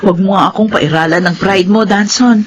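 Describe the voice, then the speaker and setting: A woman's voice: mid-range voice, a little fast, lo-fi sound, slight background noise, lightly acted. A woman playing an elderly mother who scolds her grown son in a radio drama, insistent and caring. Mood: scolding. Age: older.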